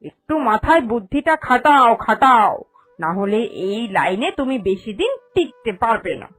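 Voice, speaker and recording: A high, animated voice of a greedy, bossy lady moneylender, acted by a woman in a cartoon fairy tale. She talks down to people with haughty emphasis, over background music. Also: moderate pace. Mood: sly, scolding. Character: vain, greedy, bossy village moneylender matriarch. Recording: music under the voice.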